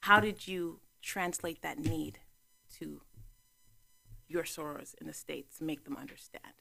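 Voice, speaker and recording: Bright-voiced chatty woman host. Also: sits low, measured pace, gravelly. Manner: chatty, laughs easily, interjects often. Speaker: talk show host. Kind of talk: casual interview chat.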